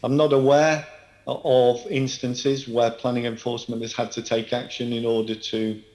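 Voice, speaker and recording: A man council officer answering a committee in measured, formal terms. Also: mid-range voice, little pitch movement, darkish tone, reverberant. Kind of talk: committee testimony.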